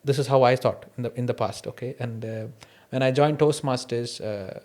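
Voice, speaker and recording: A man's voice: medium pitch, moderate pace, a little mellow. Reflective man with an Indian accent. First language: an Indian mother tongue, not English. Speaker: podcast host. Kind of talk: candid conversation, personal anecdotes.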